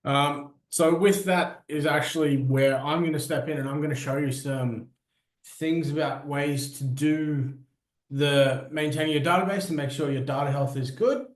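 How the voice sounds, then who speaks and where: A man presenting an informal software demo, walking listeners through it step by step in an echoey room. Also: a little high, fairly even intonation, moderate pace.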